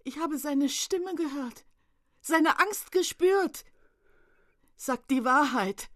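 A woman's voice: high-pitched, takes it slowly, a bit bright. An actress playing a grieving, desperate mother of a fallen soldier in a radio drama, with a trembling, tearful voice and pleading, accusing questions. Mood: insistent, pleading. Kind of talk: German radio drama acting.

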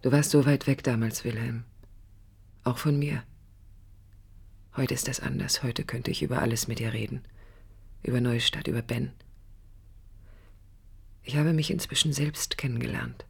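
A woman's voice: extremely low pitch, steady tone, moderate pace, gravelly, long pauses, breathy edge, exaggerated voice. An actress playing a lonely, pensive young woman who speaks intimately and wistfully to her absent brother in a radio drama. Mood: quiet, introspective. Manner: introspective, wistful, lyrical.

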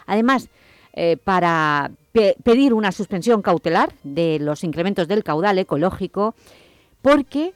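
Low-voiced woman radio host. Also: lively intonation, a little slow, no echo, studio quality. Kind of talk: moderating a radio debate.

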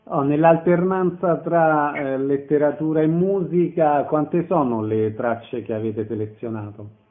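A man's voice: fairly high pitch, a little slow, narrow, tinny audio. A man asking short questions as an interviewer. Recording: band-limited radio or phone sound.

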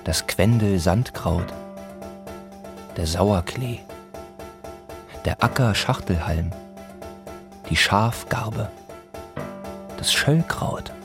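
A sober, measured narrator in a literary radio play. His voice is low and he speaks extremely slowly, setting each short phrase down deliberately. Faint music runs behind him. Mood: measured, listing.

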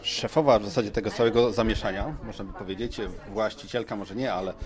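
A man's voice: on the low side, fast talker. A man hosting a casual live podcast interview in a chatty, informal, roundabout way. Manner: chatty, informal, circling around questions. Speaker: podcast host interviewing guests at an event. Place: bright cellar event space. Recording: clear crowd chatter behind.

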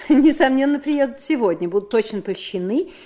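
A woman's voice: sits high, expressive, normal speed, mellow. An actress playing a proud, shrewd, commanding middle-aged lady with an ironic manner in a 1970s Soviet radio drama. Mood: defensive, haughty. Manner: imperious, ironic.

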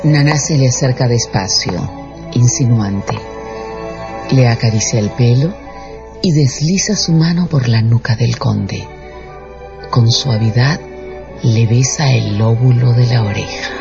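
A man's voice: medium pitch, a little slow, creaky, pauses often. A man narrating a radio dramatization in a scripted storytelling style. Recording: slightly dull sound with music underneath.

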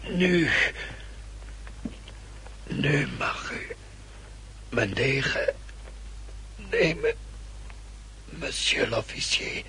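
A haughty French nobleman in a radio costume drama. He speaks extremely slowly and with ceremonious courtesy, his husky voice swinging widely in pitch. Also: animated, pushed and bright, a little hiss or hum, exaggerated voice. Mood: weakened, faltering. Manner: slow, deliberate, ceremoniously polite. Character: haughty French count surrendering his sword after a duel. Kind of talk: period radio drama acting.

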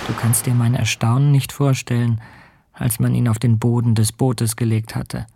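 A man's voice: medium pitch, level tone, moderate pace, natural voice. A man acting an earnest, compassionate ship captain and polar explorer in a radio play. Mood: reflective narration. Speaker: narrator and character in radio play. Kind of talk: radio drama acting with letter narration. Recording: clear noise, ambience behind.

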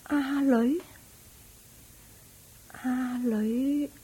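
A troubled lady of the house in a radio drama. Her high voice has a Hong Kong Cantonese accent and a probing, theatrical delivery. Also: steady tone, very slow, dark tone, breathy edge, exaggerated voice. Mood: puzzled. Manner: questioning, theatrical. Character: troubled, suspicious young mistress of the house, dramatic.

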